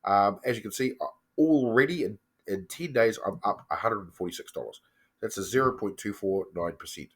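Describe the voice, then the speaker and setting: New Zealand man, lowish voice, croaky from a cold, chatty and self-correcting, casual screen-share walkthrough. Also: a little fast. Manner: chatty, loose, frequent ums and self-corrections. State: has a cold, slightly croaky. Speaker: personal finance vlogger.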